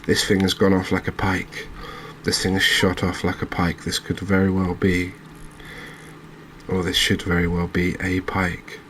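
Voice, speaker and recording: Low, even-voiced man doing cozy game commentary. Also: unhurried, a little hiss or hum. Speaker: gaming channel host.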